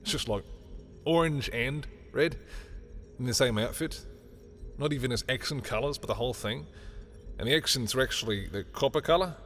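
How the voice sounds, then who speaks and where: A sardonic male villain, acted by a man for an audio roleplay, petty and theatrical. He speaks in sarcastic taunts to a familiar rival at a medium pitch. Faint music plays behind the voice. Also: moderate pace, pauses often, fairly bright tone, lightly acted. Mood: gossipy, teasing. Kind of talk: scripted audio roleplay acting.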